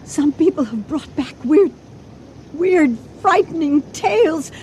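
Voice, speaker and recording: High-voiced anxious wife, old radio thriller. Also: female voice, expressive, takes it slowly, darkish tone. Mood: frightened awe. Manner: hesitant, dramatic, fretful. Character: anxious, doubting wife plotting to hide a corpse. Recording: noisy old radio drama recording.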